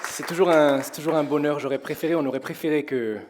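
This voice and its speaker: A young man from France preaching to his congregation in a church hall, his voice hoarse, talking in a conversational way and asking the congregation questions. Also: a little high, steady tone, fast talker, a loud crowd, heavy background noise. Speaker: pastor. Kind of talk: sermon. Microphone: headset with in-ear monitor.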